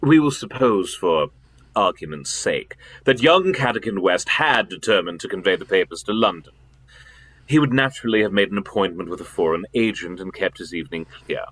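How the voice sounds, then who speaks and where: A man acting a weighty, authoritative gentleman in a radio play, urging and commanding. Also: low voice, lively intonation, normal speed. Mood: measured, challenging. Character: weighty, authoritative gentleman, urgent elder brother of detective.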